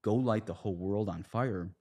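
A man's voice: lowish voice, moderate pace, dark tone. A man speaking as a guest in a conversational religious discussion, earnest and explanatory. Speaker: priest, podcast guest.

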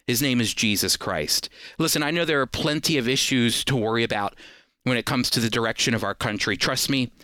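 Bright, clear-voiced American man commentating. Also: mid-range voice, fairly quick, no room sound. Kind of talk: scripted faith and politics commentary.

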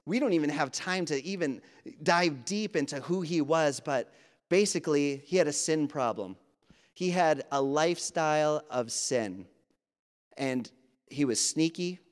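A man from Wisconsin with a Wisconsin accent, preaching a sermon in a conversational way with asides and fillers. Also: fairly high pitch. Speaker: pastor. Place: church. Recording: reverberant church hall.

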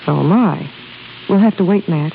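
An actress playing a devoted, discreet young secretary, polite and earnest, in 1930s radio drama style. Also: female voice, on the low side, normal speed, vocal fry. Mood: tender, earnest. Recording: tinny, noisy 1930s radio recording.

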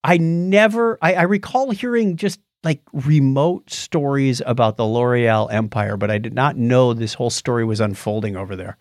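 Male podcast co-host, medium pitch, casual storyteller with conversational asides, dry sound. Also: normal speed, hardly any pauses, no room sound. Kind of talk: casual chat, recounting a documentary.

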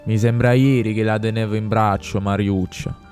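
A man's voice: on the low side, flat delivery, moderate pace, darkish tone, faint music behind, voice pushed down, lightly acted. A man voicing a chatty, affectionate middle-aged policeman making effusive small talk in a story. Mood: cheerful. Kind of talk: acted dialogue in an Italian audio story.

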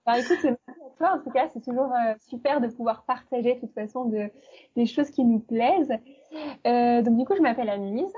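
Chatty female teacher guest, husky voice, lo-fi remote audio. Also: a little high, a little fast, dark tone. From eastern France. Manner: chatty, many fillers and restarts. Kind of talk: casual interview talk.

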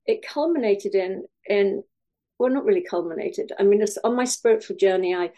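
Mellow-voiced woman, hesitant. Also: mid-range voice, normal speed. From Wales. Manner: hesitant, many fillers and restarts, laughs often. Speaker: guest. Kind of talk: podcast interview.

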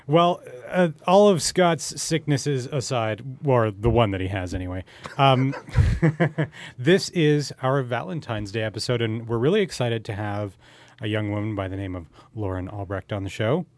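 A man's voice: medium pitch, lively intonation, moderate pace, no room sound. A man co-hosts a podcast, chatting casually and asking questions in a jokey, rambling way. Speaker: podcast co-host. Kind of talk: casual interview chat.

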